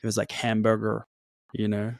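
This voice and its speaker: A man's voice: on the low side, moderate pace, creaky. A man from Melbourne whose Australian accent is blending toward American, a podcast guest talking casually and loosely, full of "like" and "yeah". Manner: relaxed, slangy, says "like" and "yeah" often.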